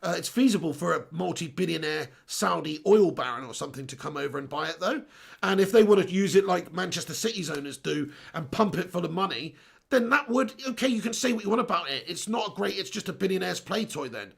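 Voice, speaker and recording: A hoarse man hosting a casual fan live stream, rambling and repeating himself as he talks. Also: high voice, lively intonation, a little fast, fairly bright tone. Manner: rambling, repeats himself, reads viewer comments aloud.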